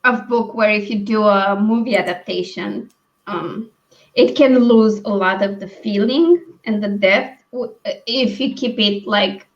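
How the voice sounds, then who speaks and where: A woman hosting a casual book discussion over a video call, thinking aloud in halting phrases. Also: medium pitch. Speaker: book read-along live stream host. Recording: dull, lo-fi stream audio.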